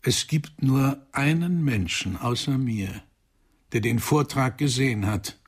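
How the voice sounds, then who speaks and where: An actor playing a stern male psychoanalyst in a German radio crime drama, speaking in a measured, formal, accusing manner. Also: mid-range voice, animated, slightly rough. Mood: cold, accusing. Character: stern male psychoanalyst coldly confronting a murderer.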